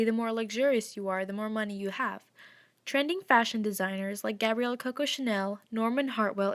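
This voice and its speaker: A woman's voice: medium pitch, fairly bright tone. A woman presenting a fashion segment in a scripted 1920s-style news report, reading it out formally.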